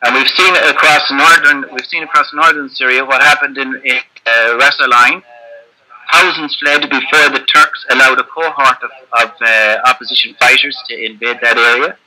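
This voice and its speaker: A man making an earnest, emphatic case as a panelist in an online debate, slowing down to stress his points over a video call. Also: medium pitch, steady tone, sharp tone, poor audio.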